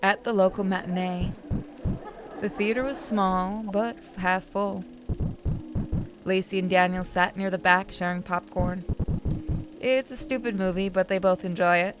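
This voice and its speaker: A woman's voice: medium pitch, fairly even intonation, unhurried, pauses often. A woman reading a visual novel aloud as narrator, with casual asides. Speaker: game streamer narrating. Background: music.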